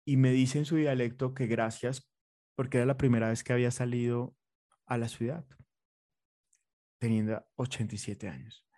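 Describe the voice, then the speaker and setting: A man's voice: medium pitch, steady tone, moderate pace, pauses often, mellow, dry recording. Earnest Colombian man, very dry sound. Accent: Colombian Spanish. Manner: earnest, reflective, with many fillers and restarts. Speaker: fashion designer, interviewee. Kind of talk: conversational interview.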